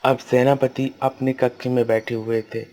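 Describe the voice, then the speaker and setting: A man's voice: mid-range voice, little pitch movement, moderate pace, warm tone. A man narrating a cartoon moral tale as a scripted storyteller.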